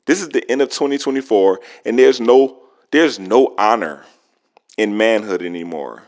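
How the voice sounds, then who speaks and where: A man's voice: fairly low pitch, normal speed, a little mellow. A man giving opinionated commentary in an emphatic way, asking rhetorical questions and drawing out words for effect. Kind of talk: opinionated gossip commentary to camera.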